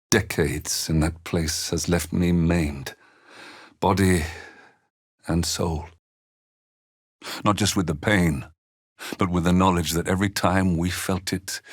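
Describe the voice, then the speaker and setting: Weary gentle old dad in a fantasy game, deep voice, really slow, halting, solemn and tender, very devout. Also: male voice, full character voice. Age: older. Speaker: video game voice actor. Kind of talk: dramatic video game character dialogue.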